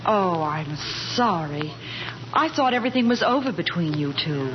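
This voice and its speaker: An actress playing a wary, outspoken but soft-hearted girlfriend in a 1940s radio comedy. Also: lowish voice, lively intonation, normal speed, natural voice. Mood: sympathetic. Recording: muffled, faintly noisy 1940s radio recording.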